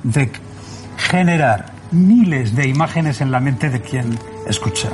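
A man talks casually as a radio host. His pitch is average, and music plays clearly behind him. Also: animated, slow pace. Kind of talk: casual chat about radio.

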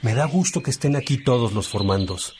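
An actor playing a fatherly, unhurried priest teaching his young brothers in a radio drama, with birdsong behind him. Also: medium pitch, lively intonation, a little mellow, slight background noise, lightly acted. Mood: fatherly, content. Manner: fatherly, unhurried, addressing followers affectionately.

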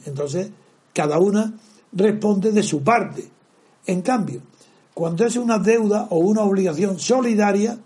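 A man's voice: high voice, mellow. An older man giving emphatic, lecturing opinions as a radio commentator.

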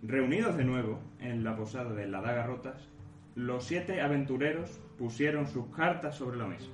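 Man playing a tongue-in-cheek storyteller, mock-solemn fantasy narration, medium pitch, echoing room, music underneath. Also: normal speed, close to an everyday voice. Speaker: narrator of an audio drama. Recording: roomy echo with music behind.

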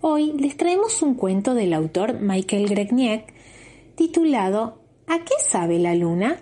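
A woman's voice: medium pitch, dark tone. A woman with an Argentine accent, a kindergarten teacher narrating a children's storybook.

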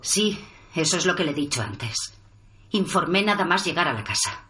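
An actress playing a stern, authoritative special forces squad leader in a radio drama, with firm, clipped military speech. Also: female voice, fairly low pitch, big pitch swings, moderate pace, bright, forceful tone. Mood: grave, reporting.

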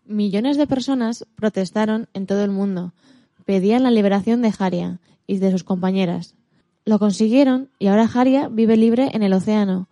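A woman co-host with an accent from Spain reads scripted narration for all ages. Her voice is mid-pitched with a soft, mellow tone. The recording is very dry, with no room around the voice. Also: no echo. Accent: Spanish from Spain. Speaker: radio show co-host.

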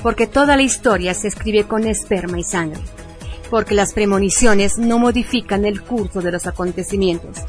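Female radio presenter, scripted review narration, mid-range voice, clear music bed underneath. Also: medium pitch, moderate pace.

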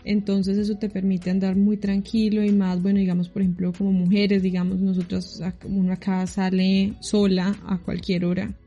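A Colombian woman answering interview questions in a chatty, relaxed way, often laughing. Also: mid-range voice, moderate pace, hardly any pauses, muffled recording, faint music behind. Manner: chatty, laughing, many restarts. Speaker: guest living abroad.

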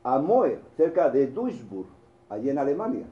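A man's voice: medium pitch, sing-song, normal speed, reverberant, highs cut off. A man with a Spanish accent from Spain, preaching a sermon in a storytelling way, with restarts and asides to the congregation.

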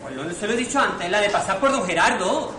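A man's voice: high voice, expressive, a little fast. A man playing a hapless, chatty servant, hesitant and bumbling, in an amateur radio farce. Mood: bewildered. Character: hapless, chatty servant Román posing as gentleman. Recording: echoey, noisy amateur recording.

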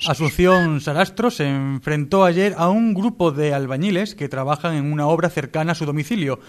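A man's voice: on the high side, moderate pace. A man hosting a comedy radio show, reading spoof news in a mock-serious, playful manner. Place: radio studio.

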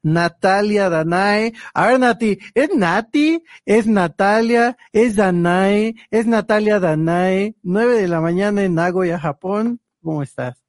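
A man's voice: sits high, takes it slowly, few pauses, dry recording, clean studio sound. A man hosting a casual live stream, chatty and teasing, dropping Japanese words into his talk.

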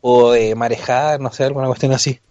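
A man's voice: medium pitch, steady tone, fairly quick, dark tone, a little hiss or hum. A man with a Chilean accent chatting casually as a co-host on a show. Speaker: co-host handling sound effects. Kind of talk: casual chat. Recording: dull lo-fi audio, faint music.

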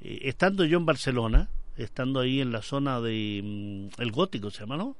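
A man's voice: medium pitch, lively intonation, moderate pace, no room sound. A Chilean man hosting a conversational radio interview, often repeating words as he searches for a phrase. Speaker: radio show host.

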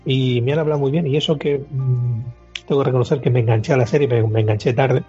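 Very husky, mellow man. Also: medium pitch, fairly even intonation, fast talker. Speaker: video game podcast panellist. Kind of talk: casual chat. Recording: muffled lo-fi, music behind.

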